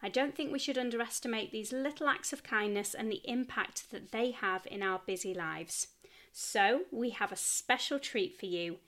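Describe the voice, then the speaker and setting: A woman podcast host speaking in an effusive, encouraging way, moving between scripted intros and chatty interview. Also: on the high side.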